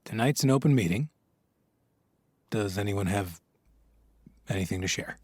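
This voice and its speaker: Audio drama role: male meeting leader, lowish voice, earnest, measured, encouraging, very dry recording. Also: expressive, no echo. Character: encouraging, earnest man leading a recovery meeting. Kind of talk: measured reading aloud and announcements.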